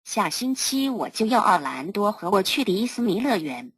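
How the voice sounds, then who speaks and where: A synthetic female voice reading out a translation as text-to-speech software. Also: mid-range voice, very animated, moderate pace, breathy edge, dry recording.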